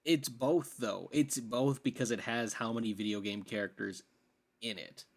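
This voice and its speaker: A man co-hosting a podcast, chatting informally about films and building his argument in a halting way. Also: medium pitch, gravelly. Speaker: podcast co-host. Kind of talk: casual film discussion.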